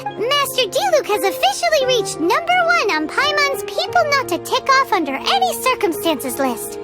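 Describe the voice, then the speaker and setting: A woman's voice: sing-song, normal speed. A woman voicing a tiny, cheeky, childlike fairy companion in a video game, with exaggerated delivery. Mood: nervous. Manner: squeaky, exaggerated, third-person childish chatter. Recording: loud tinkly background music.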